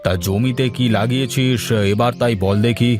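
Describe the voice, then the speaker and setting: A man's voice: mid-range voice, fairly even intonation, measured pace, over music, lightly acted. A man playing an easygoing village man in a story, talking in a familiar, musing, colloquial way. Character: easygoing village elder brother Pratap, would-be businessman farmer. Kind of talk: acted village story dialogue.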